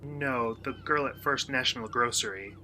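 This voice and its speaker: An actor playing an earnest, guarded young outsider in a radio play, asking questions in a measured, hesitant way. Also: male voice, mid-range voice, background noise, natural voice. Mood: cautious. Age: young adult.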